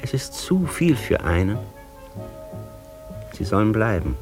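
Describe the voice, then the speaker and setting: Tiefer, getragen sprechender Dichtermeister. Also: male voice, fairly low pitch, big pitch swings, music in the background. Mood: rapturous, savoring. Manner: measured, rhapsodic, solemn, with weighty pauses. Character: exalted male master poet-thinker, musing, solemn, imperious. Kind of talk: German radio drama acting, literary declamation.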